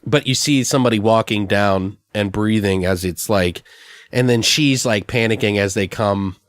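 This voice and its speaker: Low-voiced man brainstorming in casual chat. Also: moderate pace, dry recording. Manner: rambling, profane. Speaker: podcast host.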